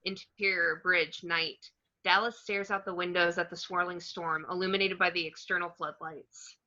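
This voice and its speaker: A woman's voice: fairly low pitch, steady tone, moderate pace, bright, forceful tone, muffled recording. A woman reading screenplay stage directions aloud as the narrator at an amateur table read.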